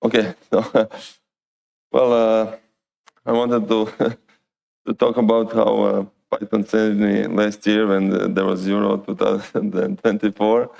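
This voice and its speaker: A man's voice: fairly low pitch, flat delivery, slow pace, vocal fry, a little mellow, highs cut off. A man with a Croatian accent giving an informal, hesitant talk to a conference. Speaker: conference lightning talk speaker. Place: conference stage, indoors.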